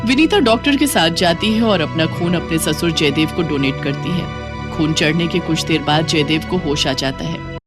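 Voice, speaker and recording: A woman's voice: low-pitched, moderate pace. A woman reading scripted moral-story narration as a storyteller. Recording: music playing behind the voice.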